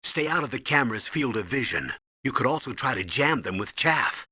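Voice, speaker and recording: An actor playing a stern older military commander, giving authoritative, urgent briefings in a video game with a husky voice. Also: male voice, medium pitch, expressive, a little fast, pushed and bright, no room sound, full character voice. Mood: cautioning. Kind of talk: video game voice acting, tutorial instructions.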